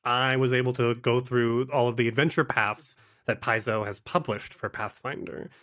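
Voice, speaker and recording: Male podcast guest, medium pitch, conversational and informal interview answers. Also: fairly even intonation, normal speed, a bit bright. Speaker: podcast guest, actual-play podcaster and game master. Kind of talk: casual interview chat.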